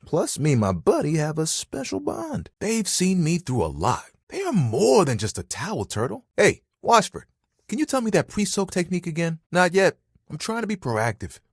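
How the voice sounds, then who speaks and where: Animated, easygoing but insecure game buddy. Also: male voice, on the high side, normal speed, husky, no room sound, clean studio sound, full character voice. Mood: warm, earnest, then enthusiastic, crafty. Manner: casual, earnest, hesitant, theatrically expressive. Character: living towel, easygoing, sensitive, insecure young male buddy. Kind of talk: video game character voice acting.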